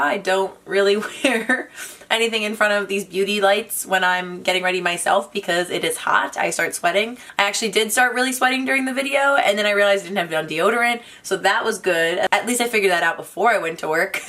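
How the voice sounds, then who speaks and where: A young woman with a raspy voice and a slight cough, chatting casually to camera as a beauty vlogger, joking about herself. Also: mid-range voice, brisk, a bit bright. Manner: chatty, self-mocking. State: has a cough.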